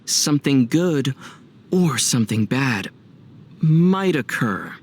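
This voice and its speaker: A young man voicing a calm, thoughtful, wry traveler hero in a video game, speaking in a measured, musing way. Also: medium pitch. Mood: mock mystical. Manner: measured, musing, gently dry. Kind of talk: video game character dialogue.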